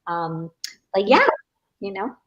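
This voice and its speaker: A middle-aged woman from Brazil with a Brazilian accent, a native Portuguese speaker, talking informally and haltingly on an online panel. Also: mid-range voice, sing-song, lo-fi sound. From Rio de Janeiro, Brazil.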